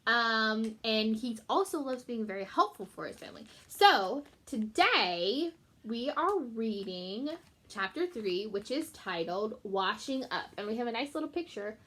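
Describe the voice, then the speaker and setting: A woman teacher reading a children's book aloud in an expressive way, speaking directly to young listeners. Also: a little high, a little slow. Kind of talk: children's book read-aloud with short explanatory asides.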